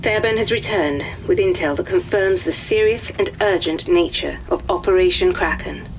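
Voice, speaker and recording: An actress playing a formal officer giving a crisp report. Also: female voice, on the low side, moderate pace, a little hiss or hum, natural voice, poor audio.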